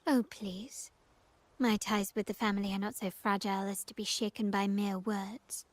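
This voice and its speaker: A woman's voice: mid-range voice, level tone, normal speed, no room sound, natural voice. A woman voicing a poised, imperious young noblewoman in a video game, composed, formal and cutting as she speaks. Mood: coolly defiant. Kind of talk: scripted game voice acting.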